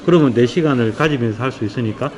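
A man's voice: medium pitch, fast talker, noisy background. A man in his fifties with a Gyeongsang accent, asking blunt, teasing questions and giving advice in a casual interview. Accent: Gyeongsang Korean. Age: 50s. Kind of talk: casual street interview chat.

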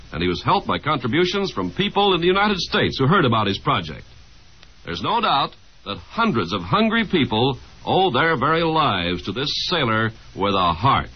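A man's voice: medium pitch, slightly rough. A man reading scripted narration as an announcer in a 1950s radio style. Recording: muffled 1950s radio broadcast.

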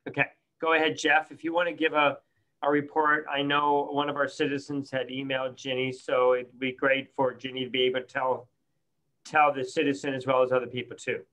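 Brief: male mayor running a council meeting, monotone, halting delivery. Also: mid-range voice, low-quality recording. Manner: halting, fills pauses with uh and restarts. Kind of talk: meeting chair remarks.

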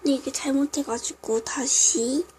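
A woman's voice: sits high, steady tone, moderate pace. A woman with a cold casually narrating a craft tutorial, apologetic and muttering self-critical asides. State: voice affected by a cold. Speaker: craft video host. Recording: noisy home recording with clicks.

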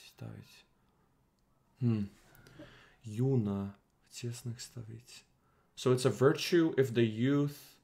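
A man's voice: on the low side, gravelly, a little mellow. A man whose first language is Croatian, casually reading and translating an old text on a live stream, thinking aloud with many fillers.

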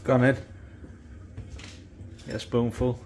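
A man's voice: medium pitch, flat delivery, measured pace, darkish tone, a little hiss or hum. A man filming in a home kitchen, chatting casually and teasing with frequent laughs. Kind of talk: casual chat behind the camera. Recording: kitchen with clattering dishes.

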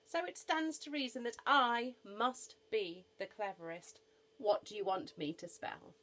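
A woman voicing a boastful, self-important big elephant in a storybook, with a booming, emphatic manner. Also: lively intonation, extremely slow, breathy edge, full character voice.

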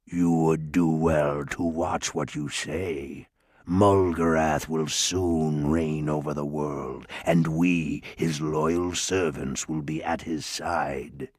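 A man voicing a haughty, scheming dwarf lord in an audiobook, speaking in a slow, gloating, menacing way. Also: very deep voice, steady tone, a little mellow, husky, dry recording, clean studio sound, pitched below the performer's own voice, heavily acted. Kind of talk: audiobook character voice.

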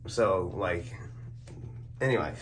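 A young man with a hoarse voice reviewing soap in a casual, laid-back way, recorded on a phone camera at arm's length in a small room at home. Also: low-pitched, lively intonation, slow pace, background noise. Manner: laid-back, chuckles at his own jokes. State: hoarse, losing his voice. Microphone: phone or webcam at arm's length.

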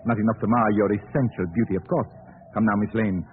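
Man playing a fast-talking villainous professor on tinny radio. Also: lowish voice, fairly even intonation, lightly acted. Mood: coldly menacing. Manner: menacing, pompous. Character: villainous mad professor, ruthless, coldly courteous. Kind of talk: 1940s radio acting. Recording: tinny, noisy 1940s radio broadcast.

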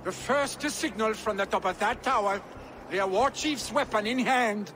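Brash high-pitched Viking warrior. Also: male voice, moderate pace, bright, forceful tone, slight background noise. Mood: rousing. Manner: swaggering, bantering. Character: brash younger male Viking warrior, boastful, competitive, teasing. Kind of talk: video game cutscene acting.

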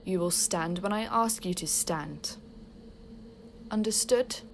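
A woman's voice: mid-range voice, takes it slowly, slight background noise. A woman playing a stern, menacing nun in an audio drama, speaking formally and with command. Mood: cold, threatening. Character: stern, menacing nun of a death-worshipping sisterhood.

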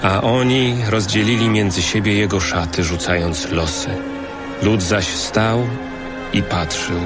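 Guy narrating a bible drama, lowish voice, slow and solemn, loud music under him. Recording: loud music bed behind.

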